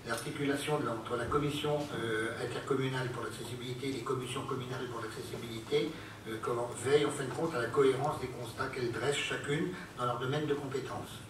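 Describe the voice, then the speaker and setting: A man, an elected local official, formally presenting a council report in a halting, word-searching way. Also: mid-range voice, fairly even intonation. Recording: very echoey hall, distant mic, noisy.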